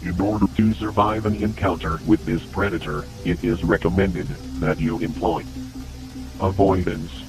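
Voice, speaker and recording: A man's voice: sits low, fairly even intonation, moderate pace, creaky, poor audio. A man playing a formal, impersonal announcer of an ominous public warning tape in an analog horror video. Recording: loud music over warning tape.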